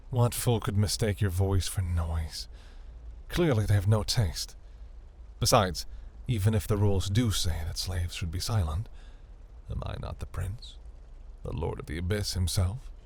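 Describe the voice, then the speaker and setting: A man voicing a seductive, imperious demon prince in a fantasy audio roleplay, velvety, teasing and grandiose, with a breathy, gravelly murmur. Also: lowish voice, a little mellow. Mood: indulgent, imperious.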